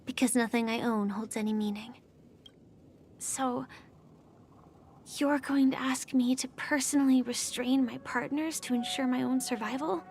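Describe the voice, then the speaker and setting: A woman voicing a calm, guarded young female soldier turned outlaw in a dramatic video game, speaking softly and with composure. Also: high-pitched, measured pace, slight background noise. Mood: guarded, resigned.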